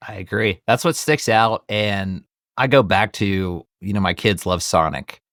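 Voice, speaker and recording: Low-voiced man chatting as podcast host. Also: unhurried, a bit bright, no echo, studio quality. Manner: enthusiastic fan, conversational. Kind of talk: casual film discussion.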